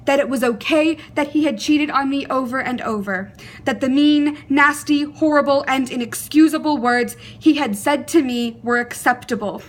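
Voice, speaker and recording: Young female monologist, high-pitched and unhurried, emotive, deliberate phrasing with pauses. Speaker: monologue performer. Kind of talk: dramatic spoken monologue.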